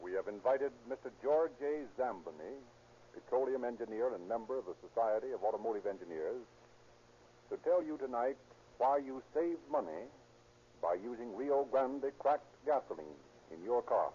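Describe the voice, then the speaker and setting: A man's voice: mid-range voice, animated, normal speed, mellow. A man working as announcer and narrator, reading scripted sponsor copy in a formal, polished 1930s radio style. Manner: formal, polished salesman delivery. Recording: muffled, noisy 1930s radio recording.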